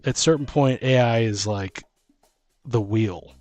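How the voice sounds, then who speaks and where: A man's voice: medium pitch, slow pace, darkish tone, soft background music. A middle-aged man chatting casually as a podcast host, rambling emphatically and often breaking off mid-thought. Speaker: podcast host and tabletop game master. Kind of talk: casual conversational chat.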